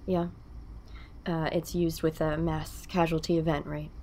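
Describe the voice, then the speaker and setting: An actress playing an attentive female interviewer, gently probing in a documentary-style audio drama. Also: low voice, flat delivery, measured pace, slight background noise. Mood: gentle, concerned.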